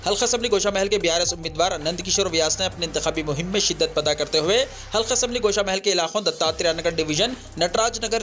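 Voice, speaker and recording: A man's voice: high-pitched, fairly bright tone. A man reads a scripted news bulletin in a formal, declarative way. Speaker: news reader.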